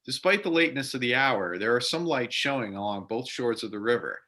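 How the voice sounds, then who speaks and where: Bright, forceful male narrator. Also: mid-range voice, lively intonation, brisk. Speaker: narrator reading stage directions. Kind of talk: scripted narration.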